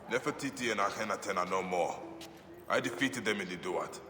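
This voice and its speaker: A man's voice: lowish voice, fairly quick, pushed and bright, soft background music. A man playing a grave, weary ancient Egyptian warrior and investigator in a video game, brooding and terse. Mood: solemn. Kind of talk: dramatic video game acting.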